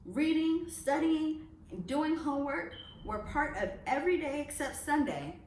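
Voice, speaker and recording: A woman reading a children's picture book aloud as a storyteller, expressively and with deliberate emphasis on phrases. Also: high-pitched, animated, a little mellow. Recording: roomy echo, distant mic, faint noise.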